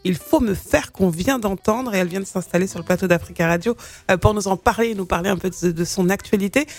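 A woman's voice: medium pitch, fast talker, a little mellow, soft background music. A woman in her forties hosting a radio interview in a studio, talking in a chatty way with many fillers and restarts. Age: 40s. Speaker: radio host.

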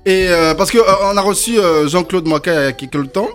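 A man's voice: high-pitched, brisk, a bit bright, soft background music. A man from Congo hosting a casual, joking chat and teasing his guest. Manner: teasing, jokey. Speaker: host.

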